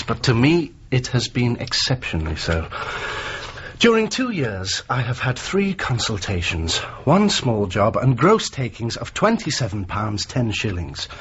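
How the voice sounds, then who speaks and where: An actor playing an earnest young Victorian engineer shaken by an ordeal, speaking formal, polite, articulate English-accented lines in a radio drama. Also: male voice, on the low side, very animated, normal speed, husky, highs cut off, heavily acted. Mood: polite, weary.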